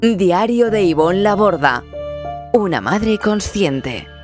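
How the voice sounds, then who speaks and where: A woman's voice: mid-range voice, expressive, slow. A woman reading a scripted podcast introduction as its announcer. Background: music.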